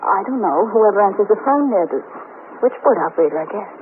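Thin, noisy vintage radio sound frames an actress as an anxious American wife. She talks quickly and politely, with a hesitant, earnest manner typical of 1950s radio drama. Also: mid-range voice, lively intonation, phone-quality sound, natural voice. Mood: uneasy, earnest.

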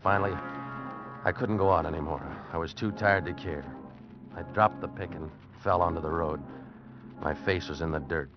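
Low-voiced, desperate American fugitive. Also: male voice, normal speed, over music. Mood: grim narrating. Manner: intense, dramatic, agitated pleading. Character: desperate American fugitive narrating his ordeal. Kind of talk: 1940s-50s radio drama narration and acting. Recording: muffled old radio recording.

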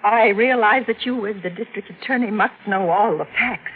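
An actress playing a cunning, passionate widowed murderess in an intense 1940s radio mystery. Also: female voice, mid-range voice, moderate pace, breathy edge, lightly acted. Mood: defensive. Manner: intense, melodramatic. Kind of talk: 1940s radio mystery acting, narrating and dialogue. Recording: muffled, noisy old radio broadcast.

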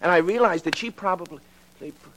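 An American actor playing a plucky working-class city cab driver in a 1940s radio drama, talking in informal slang. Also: male voice, sits high, fast, a bit bright, low-quality recording. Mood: wary, eager.

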